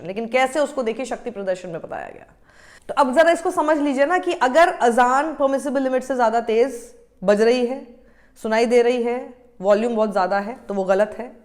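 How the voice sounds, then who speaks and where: A woman in her thirties giving argumentative news commentary to camera, switching between Hindi and English. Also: fairly high pitch. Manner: argumentative, exasperated, mixing Hindi with English phrases.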